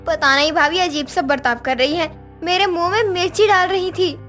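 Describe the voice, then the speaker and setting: Woman acting a very high-pitched spoiled young sister-in-law. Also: fairly even intonation, moderate pace, a bit bright, soft background music. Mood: frightened. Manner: demanding, complaining. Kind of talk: Hindi moral-story cartoon dubbing.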